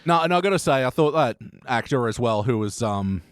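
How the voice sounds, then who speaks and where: A man's voice: medium pitch, animated, fairly quick, clear, resonant tone, dry recording, clean studio sound. A man co-hosting a podcast, talking casually and emphatically with plenty of swearing. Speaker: podcast co-host. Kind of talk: casual review chat.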